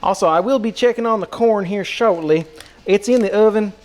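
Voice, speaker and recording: A man putting on an American Southern country accent as a goofy, excitable countryman, rambling casually to a livestream chat in a folksy drawl. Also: high voice, fairly quick, pitched above the performer's own voice, natural voice. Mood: folksy, cheerful. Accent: put-on American country, Southern. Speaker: live streamer cooking for chat.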